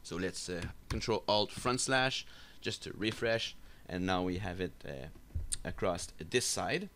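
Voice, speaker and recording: A man giving an unscripted software screencast tutorial, explaining step by step in a halting, hesitant way. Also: deep voice, lively intonation, a little hiss or hum. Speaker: tutorial instructor. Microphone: voice-over for a screen recording.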